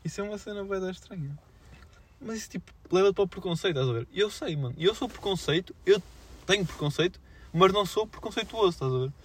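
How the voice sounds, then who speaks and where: Fast-talking young Portuguese man, slangy. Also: high-pitched, expressive, breathy edge. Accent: European Portuguese. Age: young adult. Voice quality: slangy, filler-heavy speech. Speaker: podcast co-host. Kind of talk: casual unscripted chat.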